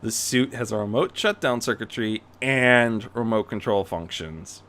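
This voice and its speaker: A performer voicing a stern older man, a suspicious retired crime-fighter father, in a terse, measured, interrogating manner. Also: mid-range voice, lively intonation, fairly bright tone, voice pushed up. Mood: skeptical. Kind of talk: acted fan fiction reading.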